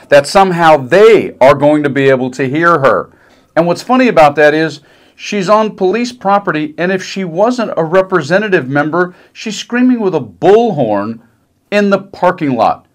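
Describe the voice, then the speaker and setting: Sarcastic middle-aged man, slightly high voice. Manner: mocking, conversational. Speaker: political commentary host. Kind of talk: sarcastic political commentary. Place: small decorated room indoors.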